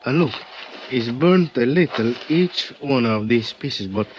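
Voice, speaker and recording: An actor playing an earnest Mexican official who speaks halting, Spanish-accented English full of "uh" fillers, in a 1950s radio drama. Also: male voice, medium pitch, animated, lightly acted. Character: earnest Mexican male official at a crash site. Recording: dull old radio transcription with faint hiss.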